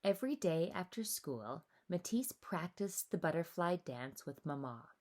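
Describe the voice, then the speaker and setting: A woman reading a children's story aloud with animated, deliberate, expressive narration. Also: on the low side.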